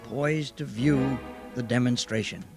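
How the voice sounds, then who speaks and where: A man's voice: medium pitch, unhurried, gravelly, over music. A man delivering scripted narration for a Golden Age style radio drama.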